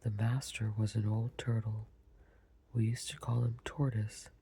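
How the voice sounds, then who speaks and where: A storyteller voicing a sorrowful, touchy male mock turtle in a deep, hollow tone, in a low whisper. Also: level tone, a little slow, mellow, slightly rough. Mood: sulky.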